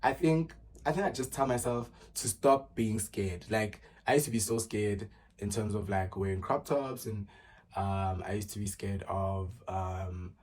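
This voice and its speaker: A man's voice: on the low side, a little mellow. A man, chatting casually through a get-to-know-me Q and A, full of fillers and restarts. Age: early 20s. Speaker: vlogger.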